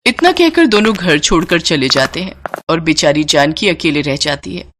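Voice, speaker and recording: A woman narrating a scripted moral story in an expressive storyteller's style. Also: low voice, normal speed, background noise.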